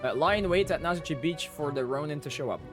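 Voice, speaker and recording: A man's voice: a little high, quick pace. A man livestreaming a game, chatting casually as he plays. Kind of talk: casual chat while playing. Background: music.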